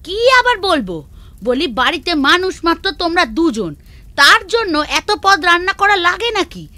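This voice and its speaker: A woman's voice: extremely high pitch, very animated, normal speed, pushed and bright, no echo, heavily acted. A woman voicing a cheeky, grumbling housemaid who whines and talks back, in a children's cartoon. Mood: indignant. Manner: whiny, back-talking.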